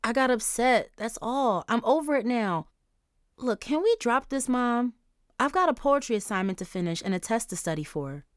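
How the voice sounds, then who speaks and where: A woman's voice: on the high side, animated, moderate pace, smooth, no echo, studio quality, pitched above the performer's own voice, close to an everyday voice. A woman voicing an upset, defensive fourteen-year-old girl who pleads and then lashes out angrily. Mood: pleading. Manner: pleading, then angry and blurting.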